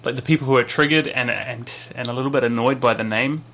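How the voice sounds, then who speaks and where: A man guest in an interview, speaking hesitantly and filling pauses with ums as he works out his thoughts. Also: medium pitch, sharp tone. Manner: hesitant, many ums, thinking aloud. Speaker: show collaborator and guest. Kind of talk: casual interview chat.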